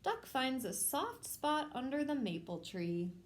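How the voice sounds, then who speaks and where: A woman hosting a children's storytime, reading a picture book aloud in simple, measured phrases addressed to young children. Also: sits high, sing-song. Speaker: children's storytime host at a public library.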